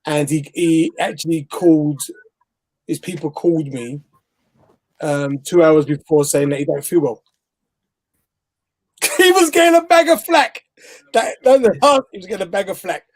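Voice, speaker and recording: A man's voice: a little high, expressive, normal speed, long pauses. A man with a Bajan accent telling a story in a rambling, laughing way over a video call. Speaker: guest interviewer. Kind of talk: casual live chat. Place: home, remote video call.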